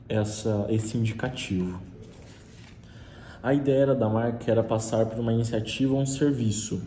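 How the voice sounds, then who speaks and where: A young man from Brazil, a student, giving a relaxed spoken presentation of a class project, often restarting his sentences. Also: lowish voice. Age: young adult. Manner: relaxed, with frequent restarts and "então". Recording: echoey room with faint hiss.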